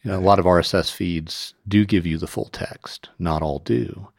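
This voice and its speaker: A man hosting a podcast, chatting casually and telling stories in an informal, expressive way. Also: deep voice, normal speed, gravelly, dry recording, clean studio sound. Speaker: podcast host interviewing a guest.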